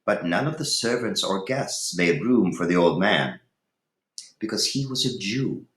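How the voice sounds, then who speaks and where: A man's voice: low-pitched, expressive, measured pace, fairly bright tone. A man reading a story aloud in a dramatic narrating style. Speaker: reader of a storybook. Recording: roomy, echoey room.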